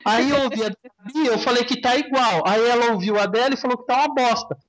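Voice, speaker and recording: A Brazilian man with a noticeably high voice co-hosts a casual podcast. He speaks in slang and swears freely. He talks in anecdotes, as if among friends. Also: fast talker, fairly bright tone, slight background noise, low-quality recording. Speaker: podcast co-host. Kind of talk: casual chat.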